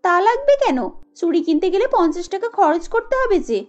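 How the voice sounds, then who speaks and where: A woman's voice: extremely high pitch, expressive, a little fast, darkish tone, lo-fi sound, voice pushed up. A woman voicing an arrogant, rich young daughter-in-law in a cartoon story, haughty and taunting. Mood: haughty, mocking.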